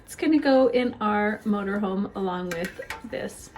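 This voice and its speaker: Female vlogger, middle-aged, unhurried and unscripted, medium-pitched voice, casual chat over clear ambient noise. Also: a little mellow, roomy sound. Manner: unscripted, thinking aloud while working. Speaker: vlogger showing family outings and crafts. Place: car, store, home kitchen and craft room.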